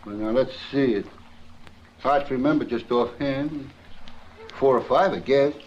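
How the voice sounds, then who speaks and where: An actor playing a glib, easygoing American small-time crook in a 1950s radio crime drama, cheekily evasive, with a breezy, rambling manner. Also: male voice, medium pitch, a little slow, darkish tone, close to an everyday voice. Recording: dull, noisy old radio recording.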